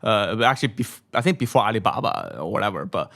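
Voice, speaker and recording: A man's voice: lowish voice, very animated, quick pace, vocal fry, bright, forceful tone. A man in his thirties from China with a Mandarin accent, a guest on a podcast, talking casually and ending many phrases with "right?", close to a studio mic. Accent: Mandarin Chinese. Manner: tags sentences with "right?", many repeats. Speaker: venture capital guest. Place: small studio room, brick wall. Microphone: studio mic on boom, headphones.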